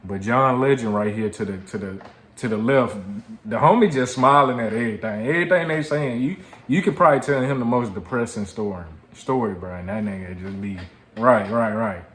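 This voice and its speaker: A man's voice: medium pitch, brisk, fairly bright tone. An American man hosting a reaction video, commenting casually and jokingly in slangy, repetitive speech. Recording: roomy echo, some background noise.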